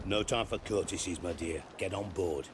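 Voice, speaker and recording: An actor playing a coarse, heavyset middle-aged spymaster in a video game, wry and theatrical, with a mocking manner. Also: male voice, sits low, moderate pace, noisy background, close to an everyday voice. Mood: brisk. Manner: mocking, crude, wordy storytelling. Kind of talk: video game cutscene dialogue.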